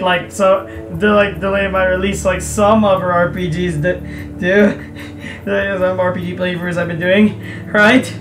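Young man doing a casual game playthrough, echoey room. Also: sits high. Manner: joking, swears, mock-exasperated asides. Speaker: let's player reading a visual novel aloud. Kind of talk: casual gaming commentary and read-aloud narration. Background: game music.

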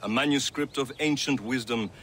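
Man playing a grave assassin mentor, mid-pitched. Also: moderate pace, fairly bright tone, lightly acted. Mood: solemn. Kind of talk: video game cutscene acting. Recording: clear outdoor ambience noise behind.

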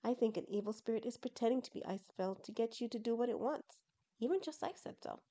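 Woman reading as a skeptical outsider guy in a story, plain-spoken, mellow tone, thinks things through. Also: fairly high pitch, fast, close to an everyday voice. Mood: firm.

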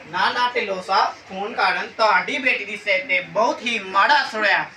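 Young woman as bright-voiced, grave doctor. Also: mid-range voice, fairly quick, lightly acted. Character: grave, concerned family doctor. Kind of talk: school stage play acting. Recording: echoey stage, distant mic, faint noise.

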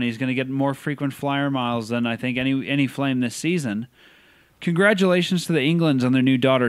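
A Canadian man co-hosting a podcast, chatting casually and reacting quickly to his co-host. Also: on the high side, dry recording. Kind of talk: casual sports chat.

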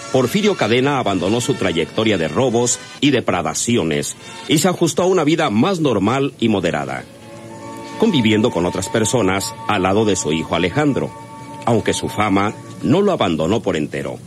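A man's voice: on the low side, sing-song, normal speed, music in the background. A man narrating an old radio serial in a formal, grandiloquent, dramatic style.

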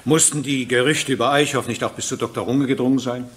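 A man's voice: mid-range voice, fairly even intonation, quick pace, fairly bright tone, lightly acted. An actor playing a composed male presiding judge, formal and businesslike, in a German courtroom radio play.

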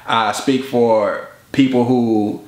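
A man's voice: medium pitch, steady tone, slow, a little mellow. A man in his twenties, a rapper talking casually and reflectively in an interview. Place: living room couch indoors. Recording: slightly roomy indoor sound, faint music behind.

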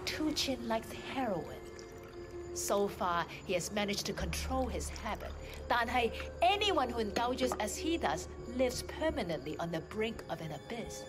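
An actress playing a cold, calculating crime boss in a video game cutscene, speaking in a deliberate, menacing way. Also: female voice, fairly high pitch, very animated, over music. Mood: calm, calculating.

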